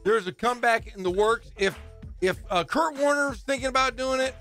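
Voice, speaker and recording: A man's voice: very high-pitched, moderate pace, bright, forceful tone, faint music behind. A middle-aged American man co-hosting a sports radio show, bantering casually in a boastful, teasing, exaggerating way. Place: radio studio.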